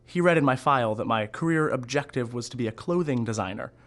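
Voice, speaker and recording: An actor playing a candid, wry theatre costume designer and Vietnam veteran in an audio drama, telling things in a measured, reflective way. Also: male voice, mid-range voice, expressive, a bit bright. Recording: music behind the voice.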